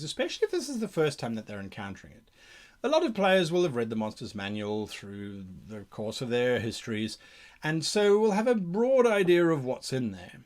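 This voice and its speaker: A man hosting an advice show for game masters, thinking aloud in a theatrical way and posing questions to himself as he explains. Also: mid-range voice. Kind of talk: explanatory monologue on monster creation.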